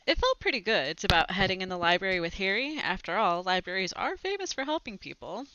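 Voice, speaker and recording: Young female storyteller for children's read-aloud, bright and animated, dry headset sound. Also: medium pitch, a little fast, lo-fi sound. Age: young adult. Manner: animated, clearly phrased storytelling. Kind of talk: expressive children's book read-aloud with brief asides. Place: small home room with bookshelves, indoors. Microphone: headset microphone.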